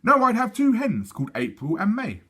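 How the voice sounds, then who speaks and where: A storybook small girl, acted out by a man, with a raised, swooping pitch. The character is stubborn and matter-of-fact, with a prim, self-assured way of stating plans. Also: huge pitch swings, moderate pace, a bit bright, husky, full character voice. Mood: determined.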